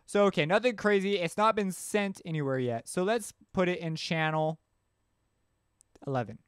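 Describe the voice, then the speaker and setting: Clear, higher-voiced man thinking aloud. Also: normal speed, no room sound, clean studio sound. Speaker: tutorial host. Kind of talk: casual software tutorial narration. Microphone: close mic screencast voice-over.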